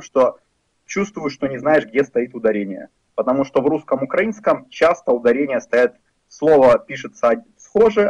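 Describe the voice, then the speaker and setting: A man's voice: mid-range voice, dry recording. Ukrainian-accented guy in his 30s lecturing, wry and rambly, dull lo-fi dry recording. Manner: frank, wry, loose and rambling with fillers. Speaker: programming lecturer. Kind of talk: conversational lecture. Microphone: voice-over for a screen recording.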